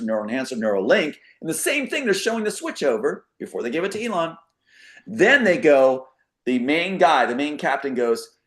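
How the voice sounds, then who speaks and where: Emphatic, rambling man telling a story. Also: medium pitch. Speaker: talk show guest. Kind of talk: casual conspiracy monologue.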